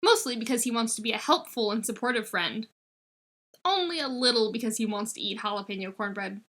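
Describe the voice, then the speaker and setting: Female audiobook-style fiction reader, fairly high pitch, very bright and crisp-edged tone, expressive delivery. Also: brisk. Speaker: podfic reader. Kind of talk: expressive scripted fiction narration.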